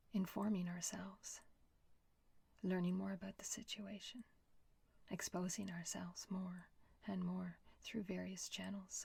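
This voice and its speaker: A woman leading a guided meditation in a soft, whispery, gentle voice with long pauses. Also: lowish voice, creaky, breathy edge, dry recording.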